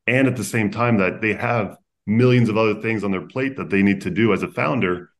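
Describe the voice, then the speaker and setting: A Canadian man with a fairly low voice tells stories as a podcast guest. He sounds upbeat and talks in long, run-on sentences. He seems to be in his thirties. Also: fairly quick. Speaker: podcast guest, food-tech founder. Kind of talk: conversational storytelling.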